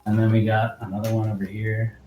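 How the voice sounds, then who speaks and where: A man's voice: fairly low pitch, level tone, brisk, lo-fi sound, music in the background. A man chatting casually in a slangy, joking way on a talk show. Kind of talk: casual chat.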